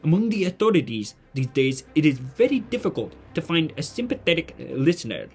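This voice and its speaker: A man's voice: on the high side, big pitch swings, fairly quick, a little mellow, husky, faint music behind, heavily acted. A man playing a polite, modest genius mentor in a family audio drama, with formal, hesitant phrasing. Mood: gentle, measured.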